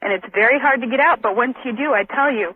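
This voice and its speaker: A woman's voice: on the high side, fast talker, slight background noise. A woman calling a radio show from a phone outdoors, talking casually and earnestly with a giggly edge. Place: outdoors, maybe at a phone booth. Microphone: telephone. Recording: tinny outdoor payphone line on radio.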